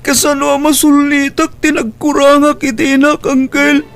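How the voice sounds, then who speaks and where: A man acts out a remorseful young Filipino son in a radio melodrama. The voice is very high and plaintive, heavy with earnest feeling. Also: monotone, normal speed, vocal fry, fairly bright tone, faint music behind, full character voice. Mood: grieving, remorseful. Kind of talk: Ilocano radio drama acting.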